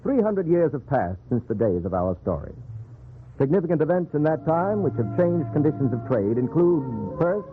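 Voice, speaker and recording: A man giving solemn, oratorical scripted narration in 1940s radio style. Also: a little high. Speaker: narrator of a historical radio drama. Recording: tinny 1940s radio recording with music.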